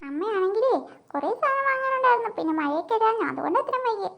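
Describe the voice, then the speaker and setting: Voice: very high-pitched, big pitch swings, quick pace, creaky, fairly bright tone, exaggerated voice. A performer voicing a sweet-talking, secretive mother in a cartoon comedy, coaxing and reassuring. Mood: excusing.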